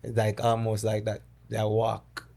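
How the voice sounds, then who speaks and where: A middle-aged man from Jamaica with a Jamaican accent, a podcast guest who recounts personal loss in a halting, word-searching way. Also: lowish voice, completely flat delivery, creaky, a little mellow. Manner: halting, searching for words, with many restarts. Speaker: guest, dancehall artist. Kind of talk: conversational interview, storytelling.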